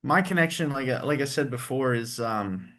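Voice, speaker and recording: A man with an ordinary, mid-pitched voice talks casually in an interview. He is friendly and a little rambling, and he laughs easily as he explains things. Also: animated, moderate pace, fairly bright tone. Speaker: guest, tribute performer and engineer.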